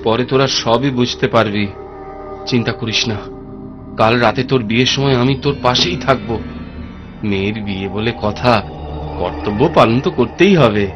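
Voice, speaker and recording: A male actor as a cartoon ghost, a dutiful father keeping secrets from his family. He speaks earnestly and reassuringly in a mid-pitched voice, muffled in the recording under clear background music. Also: normal speed, long pauses, lightly acted. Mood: evasive, reassuring. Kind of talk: cartoon voice acting in Bengali. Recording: dull, band-limited audio under music.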